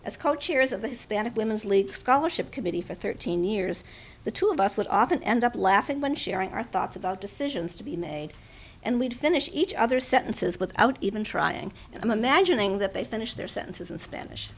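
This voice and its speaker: A woman's voice: medium pitch, lively intonation, brisk, poor audio. Woman reading a memorial tribute, faint background noise. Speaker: speaker giving a memorial tribute. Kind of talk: reading a tribute aloud with personal asides at a memorial.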